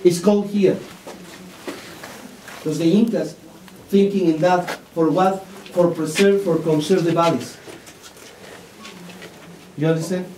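A man in his forties working as a tour guide, explaining in halting non-native English, recorded by a visitor's camera inside a rock cave. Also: sits high, steady tone, warm tone, slight background noise. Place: inside a rock cave and on an outdoor hillside path. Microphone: tourist's camera at a few steps' distance. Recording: echoey cave recorded from a distance.

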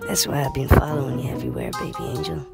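A woman voicing a secretive male protector who speaks low and drops into hushed whispers. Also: very animated, fast talker, vocal fry, exaggerated voice. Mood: solemn. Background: loud background music.

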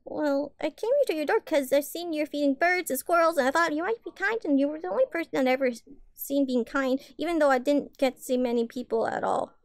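Woman playing a high-voiced timid little catgirl. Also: quick pace, no room sound, studio quality. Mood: meek, uncertain. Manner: hesitant, stammering, meek, apologetic. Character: timid, eager-to-please small catgirl, homeless stray kitten. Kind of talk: audio roleplay addressed to the listener.

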